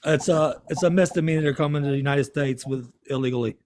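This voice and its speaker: A man's voice: on the high side, quick pace, a little mellow. A man hosting a heated online debate, combative and profane, hammering the same jabs over and over. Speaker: debate stream host.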